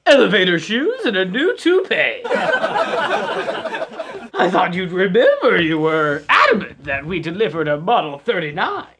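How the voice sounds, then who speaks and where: A woman playing a brash, mocking delivery man in an old-time radio comedy, loud and insolent. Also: fairly low pitch, huge pitch swings, moderate pace. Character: brash, mocking delivery man who heckles his customer. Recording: loud background noise.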